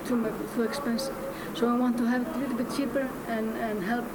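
An Icelandic-accented woman, a native Icelandic speaker, answers radio interview questions in simple, halting English, often restarting her sentences. Her voice sits somewhat high but barely moves in pitch. A loud hiss of background noise runs under everything. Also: little pitch movement. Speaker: author, guest.

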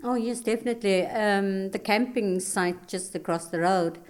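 A woman's voice: mid-range voice, normal speed. A middle-aged woman from South Africa, a guest in an interview, chatting easily and laughing readily. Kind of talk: casual chat.

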